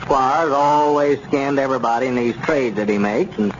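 A noisy, dulled 1930s radio recording carries an actor as a sensible small-town man. He reasons in a measured, folksy way, wary of others and concerned for his friends. His pitch sits in an ordinary middle range. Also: lo-fi sound, lightly acted. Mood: amused, folksy. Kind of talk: 1930s radio comedy serial acting.

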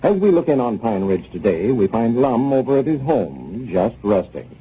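A man's voice: mid-range voice, very animated, normal speed, warm tone, breathy edge. A man working as a radio announcer, reading sponsor copy and scene-setting narration in 1930s style. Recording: noisy dull 1930s radio recording.

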